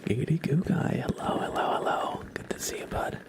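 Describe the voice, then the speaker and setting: A man hosting an ASMR livestream, speaking in soft whispers with a gentle, playful manner. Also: fairly quick. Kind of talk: ASMR, whispered roleplay and chat with viewers.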